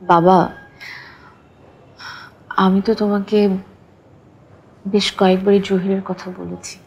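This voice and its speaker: A woman's voice: medium pitch, flat delivery, slow pace, vocal fry, a little mellow, slightly rough, exaggerated voice. An actress playing an earnest, wistful young woman in love in a television drama. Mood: pleading. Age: young adult. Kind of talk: romantic TV drama acting.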